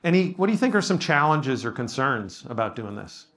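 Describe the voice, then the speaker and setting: A man's voice: medium pitch, very animated, fairly quick, fairly bright tone. A man leading a workshop discussion as a pastor, talking casually and modestly, with frequent ums and restarts in front of a live audience.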